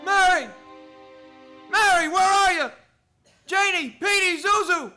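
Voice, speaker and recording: A man's voice: very high-pitched, takes it slowly, sharp tone, faint music behind, full character voice. A man playing a desperate, agitated family man who stammers and repeats himself in a radio play. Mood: frantic.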